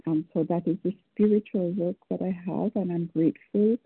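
A woman from Canada, speaking earnestly and hesitantly, with frequent ums. Her voice is husky and dry. The call comes through a narrow, phone-like line. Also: lowish voice, steady tone, brisk, dry recording. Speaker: meeting participant. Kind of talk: sharing at a phone support meeting.

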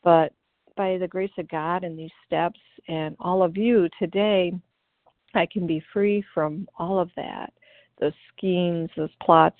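A woman from Ohio sharing her recovery story at a meeting, earnest and grateful, pausing often with fillers. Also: fairly low pitch, vocal fry, dry recording. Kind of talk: personal recovery share. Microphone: phone call. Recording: tinny phone line.

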